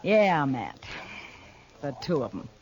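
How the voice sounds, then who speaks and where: A woman's voice: low-pitched, very animated, slow, full character voice. A woman playing a weary, blunt frontier townswoman in a 1950s radio western, talking in an offhand drawl. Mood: matter-of-fact.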